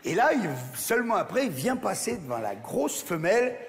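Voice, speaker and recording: Male stand-up comedian with a Champagne accent, high voice, crude and animated; live theatre echo, crowd. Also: normal speed. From France, Champagne region. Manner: crude, animated, talks straight to the audience. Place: large theatre stage.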